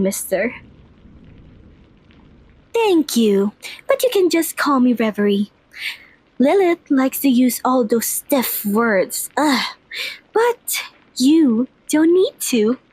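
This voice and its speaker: Casting: woman as girlish vampire mistress for ASMR roleplay, very high voice, leisurely delivery, playful and sweet. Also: exaggerated voice, rough recording. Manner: bubbly, informal, giggly.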